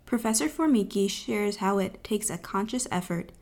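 A woman's voice: mid-range voice, animated, moderate pace, a little mellow. A woman narrator reading a scripted, explanatory documentary piece.

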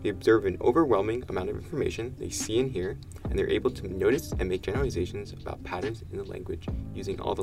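A man reading a scripted explainer about how children learn language. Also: on the low side.

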